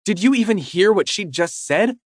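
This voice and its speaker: A man's voice: a little high, expressive, normal speed, dry recording, clean studio sound, voice pushed up, close to an everyday voice. Give me a man doing a young woman, teasing, then pressing hard with questions. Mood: upset, questioning. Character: loving young girlfriend, affectionate then upset.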